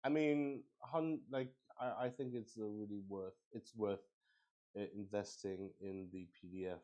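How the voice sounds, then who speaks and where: A man hosting a solo tabletop roleplay livestream, explaining casually in a halting, rambling way as he thinks aloud to his viewers. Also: on the low side, steady tone, darkish tone, muffled recording.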